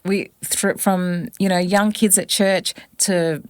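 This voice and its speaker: West papuan woman in her 40s, english not her first language, low voice, earnest storytelling, dry mic. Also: takes it slowly, clean tone, dry recording. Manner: earnest, reflective, animated on family memories. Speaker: singer-songwriter guest. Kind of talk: personal storytelling in an interview.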